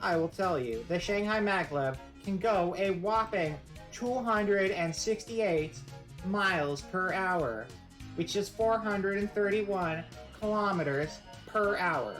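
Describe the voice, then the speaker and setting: A woman's voice: medium pitch, animated, slow pace, soft background music. A woman streamer giving a casual live-stream presentation, rambling and joking in a playfully mock-serious way.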